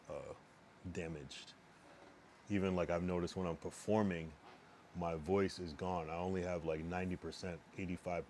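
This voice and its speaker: A young man with a very low, worn-sounding voice rambles through a casual live stream, halting and restarting as he talks. He sits at a home streaming setup with his own microphone. Faint background noise hums underneath. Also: a little mellow. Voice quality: strained, worn voice.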